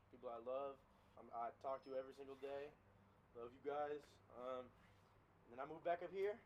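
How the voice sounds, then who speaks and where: A man's voice: fairly high pitch, highs cut off, slight background noise. A man of about eighteen co-hosting a podcast in easygoing casual chat, hesitant and full of ums. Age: around 18. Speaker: podcast co-host.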